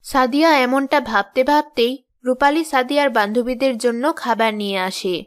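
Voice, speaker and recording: A woman's voice: high voice, normal speed. A woman narrating a children's story in a scripted storytelling style. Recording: music behind the voice.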